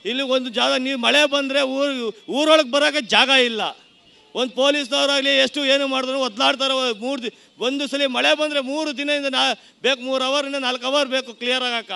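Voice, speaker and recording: A man's voice: very high voice, fairly even intonation, normal speed, gravelly, bright, forceful tone. A man with a Bengaluru Kannada accent, a local resident airing grievances emphatically and colloquially in a street interview. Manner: aggrieved, emphatic, colloquial with English words. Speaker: local resident giving opinion. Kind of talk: street vox pop complaint.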